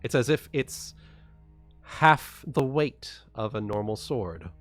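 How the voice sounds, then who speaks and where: A man narrating and explaining a tabletop game as game master, in a halting way with repeated phrases. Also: mid-range voice, expressive, faint music behind.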